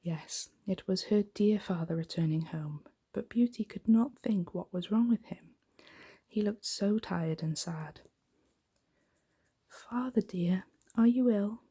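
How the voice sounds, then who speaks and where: A woman gently reading a bedtime story in an unhurried, soft, whispery ASMR style. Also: lowish voice. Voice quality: breathy, whispery.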